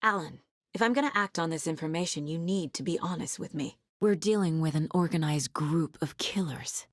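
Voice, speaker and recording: Guarded woman investigator in a horror game, husky, terse and earnest, super dry close sound. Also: low-pitched, normal speed, no room sound. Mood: serious, resolute. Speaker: video game voice actress. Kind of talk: naturalistic video game dialogue.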